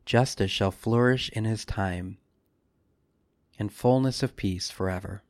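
A man speaking as a priest, leading a scripted devotional meditation with measured, solemn phrasing and deliberate pauses. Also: fairly low pitch, darkish tone, no echo, clean studio sound.

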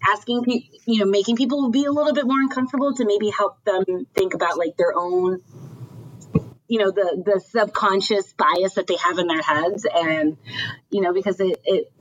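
A woman's voice: mid-range voice, fairly even intonation, normal speed, lo-fi sound. A woman from Ohio in casual conversation, telling personal stories with animation and acting out the people she quotes. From Ohio, USA.

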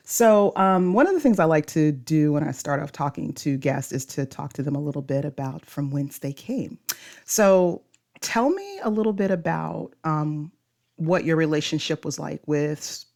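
Low-voiced woman hosting a podcast. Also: lively intonation, normal speed. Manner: warm, encouraging, laughs often. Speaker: podcast host. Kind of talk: casual podcast interview.

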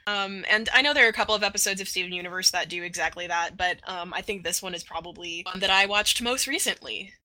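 A woman's voice: fairly low pitch, fast, hardly any pauses, bright, forceful tone, rough recording. A woman panelist in a casual chat, speaking informally and with self-deprecating humor. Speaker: podcast panelist.

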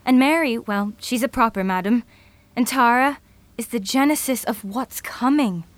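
A woman's voice: on the high side, moderate pace, dry recording, close to an everyday voice. A woman playing a thoughtful, idealistic futurist in an audio drama, speaking in a reflective, earnest manner.

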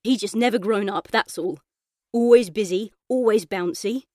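Woman reading as a expressive young boy telling his story. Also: medium pitch, normal speed, no room sound, clean studio sound, close to an everyday voice. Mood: lively, chatty. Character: young football-loving boy narrator, thoughtful, cheeky, trapped in coma. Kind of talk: first-person audiobook storytelling.

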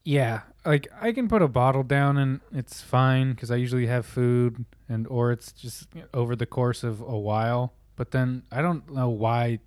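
A man with a hoarse, raspy edge chats loosely with a co-host, swearing and restarting his sentences. His voice sits in the middle of the pitch range, and the sound is dry and close. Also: normal speed, few pauses, darkish tone, no echo. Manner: loose, profane, rambling with restarts. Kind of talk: casual chat.

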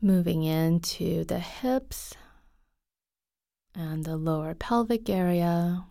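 A woman guiding a body scan meditation in a soft, calm, unhurried way, with frequent pauses and some breathiness. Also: fairly low pitch, gravelly, dry recording, clean studio sound. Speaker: guided meditation teacher.

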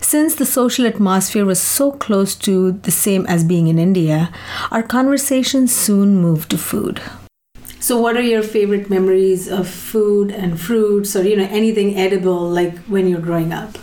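A woman hosting a podcast, reading scripted narration and asking interview questions in a measured way. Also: medium pitch, warm tone. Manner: measured, clearly read narration. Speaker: podcast host.

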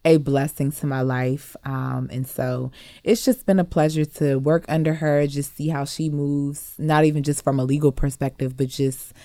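A young woman originally from Cameroon speaks as an interview guest. Her voice is distinctly low, recorded dry without room sound, and her answers are conversational. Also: flat delivery, normal speed, warm tone, no echo. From Cameroon, grew up partly in Maryland. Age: young adult. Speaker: interview guest, law clerk.

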